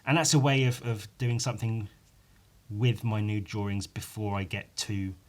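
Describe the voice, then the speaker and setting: A man with a croaky voice rambling casually on his own as a podcast host, informal and sweary, poking fun at himself and tacking questions onto his remarks. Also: lowish voice, fairly even intonation, moderate pace. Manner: sweary, informal, self-deprecating, with tag questions. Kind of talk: casual rambling solo chat.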